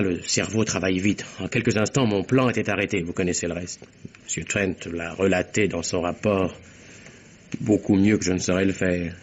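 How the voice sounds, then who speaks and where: An actor playing an articulate young secretary in a vintage French radio mystery, telling his account with theatrical, deliberate precision. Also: sits low, breathy edge. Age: young adult. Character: composed, articulate young secretary explaining himself under suspicion. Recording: dull, muffled old radio recording.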